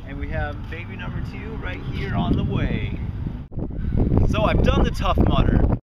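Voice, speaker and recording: A man's voice: high voice, lively intonation, unhurried. An American man in his thirties introducing himself to camera for an audition video, recorded outdoors. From Phoenix, Arizona, United States. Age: 30s. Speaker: personal trainer and diving coach. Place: outdoors in a park. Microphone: camera a few feet away. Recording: muffled camcorder sound with loud wind noise.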